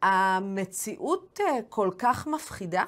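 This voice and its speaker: A woman with an Israeli accent and Hebrew as her mother tongue, hosting a news interview with halting pauses mid-sentence. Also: medium pitch, expressive, fairly bright tone. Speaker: interviewer, show host.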